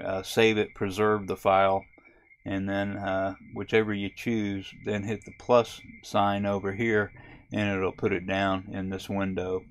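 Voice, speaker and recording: A man hosting a ham radio tutorial, talking through a software setup in a relaxed, halting way with frequent fillers. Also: lowish voice. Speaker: ham radio video host. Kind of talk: casual screen-recorded tutorial. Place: camper.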